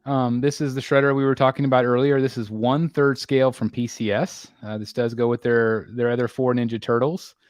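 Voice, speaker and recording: A man in his forties hosts a laid-back panel chat, pausing often with ums and restarting his sentences. His voice sits at an ordinary middle pitch. The sound is dry and close, with no room echo. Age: 40s. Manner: relaxed, many filler ums and restarts. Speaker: host.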